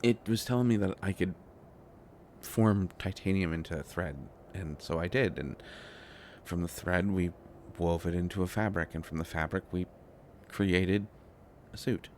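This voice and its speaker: A man acting a shy, flustered, devoted inventor boyfriend in an intimate roleplay audio drama, talking in halting, stammering rambles. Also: low-pitched, slight background noise, lightly acted. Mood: sheepish explaining.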